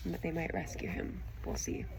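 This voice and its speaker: A woman's voice: low voice, sing-song, normal speed, vocal fry, a crowd in the background, rough recording. A woman reading stories aloud expressively and chatting casually about them. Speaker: audiobook reader and show host. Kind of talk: expressive storybook narration, then casual chat with a child listener.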